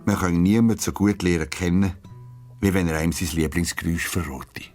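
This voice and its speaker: A man's voice: low voice, steady tone, brisk, a little mellow. A man with a Swiss German accent narrating a scripted story for children. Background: music, plucked guitar.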